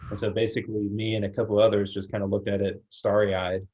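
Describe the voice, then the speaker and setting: A young man with a slight New Zealand accent, a company founder talking in a relaxed, plainspoken way as an interview guest on a video call. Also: on the low side, fast talker, highs cut off. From United States. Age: young adult. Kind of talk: casual interview.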